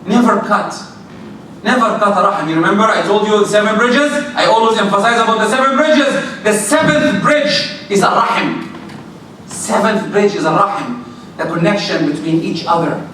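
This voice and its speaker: Loud guy preaching to teens, high bright voice, lots of rhetorical questions, big echoey hall from far off. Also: moderate pace, slight background noise. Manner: animated, emphatic, repeats points with rhetorical questions. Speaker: Islamic speaker. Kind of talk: informal religious talk to youth.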